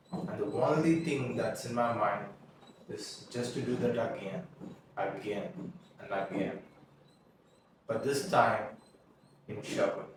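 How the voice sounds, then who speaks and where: A young man whose first language is Tamil, telling a halting, earnest confessional story and often restarting his words. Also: mid-range voice, noisy background. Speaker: vlogger. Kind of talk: confessional monologue. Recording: echoey room, mic at a distance.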